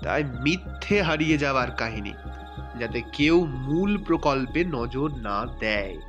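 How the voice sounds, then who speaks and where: An actor playing an alert investigator piecing clues together urgently in an audio thriller. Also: male voice, medium pitch, lively intonation, unhurried, dull audio, lightly acted. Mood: alert, deducing. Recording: music under the voice.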